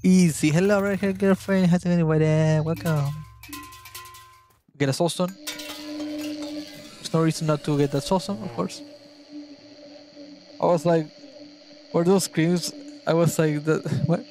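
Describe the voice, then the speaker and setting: A man's voice: fairly high pitch, unhurried, pauses often, dark tone, faint music behind. Man. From Argentina. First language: Spanish. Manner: chatty, searches for words, swears at the game. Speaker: gaming YouTuber. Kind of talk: gameplay guide commentary.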